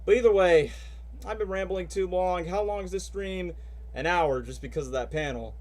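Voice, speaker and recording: A man's voice: sits high, moderate pace, a little mellow. A man ranting and chatting casually as a live streamer before reacting to cutscenes, rambling with lots of fillers. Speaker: streamer and voice actor.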